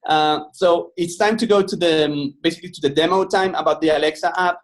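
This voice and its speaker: Man, Spanish accent, mid-high voice, relaxed webinar presenter, lots of fillers, computer mic. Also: brisk. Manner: relaxed, many fillers and restarts. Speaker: software company presenter. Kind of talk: webinar product demo.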